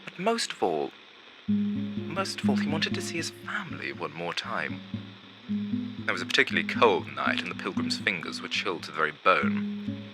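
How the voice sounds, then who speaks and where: A man playing a vain, gloomy undead showman who narrates an animated film in a pompous, drawn-out theatrical manner. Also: low voice, over loud music, rough recording. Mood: solemn, dramatic. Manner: dramatic, pompous, drawn-out delivery with hushed asides.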